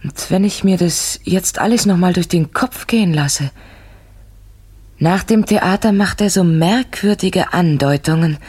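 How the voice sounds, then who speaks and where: An actress playing an uneasy woman confiding her suspicions in a German radio play, speaking in hesitant, halting phrases. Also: lowish voice, darkish tone, husky. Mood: uneasy, reflective.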